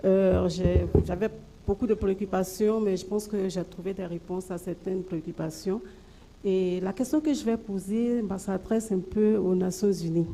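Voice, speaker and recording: A woman from Côte d'Ivoire presses her question to a panel, earnest and insistent. Her voice is very dark and mellow, with a gravelly edge of vocal fry. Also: medium pitch, moderate pace, low-quality recording. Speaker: president of a women's organisation. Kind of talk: question from the floor. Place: conference hall.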